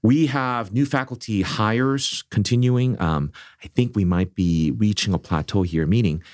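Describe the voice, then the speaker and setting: A middle-aged man born in South Korea, leading a seminary, speaking in an earnest, measured way with frequent restarts. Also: on the low side, darkish tone, no echo, studio quality. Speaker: seminary leader. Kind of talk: conversational interview.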